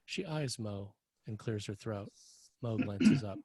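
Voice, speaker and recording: A man narrating scene directions for a screenplay table read in a scripted style, with a husky voice. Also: lowish voice, animated, slow pace, crowd noise. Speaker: narrator reading stage directions.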